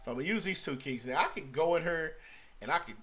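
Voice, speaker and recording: A man's voice: a little high, expressive, quick pace. Man casually walking through music production, music behind. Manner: rambling, thinking aloud, repeats phrases. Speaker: music producer giving a software tutorial. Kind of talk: casual screen-recorded tutorial talk. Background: hip-hop beat loops playing.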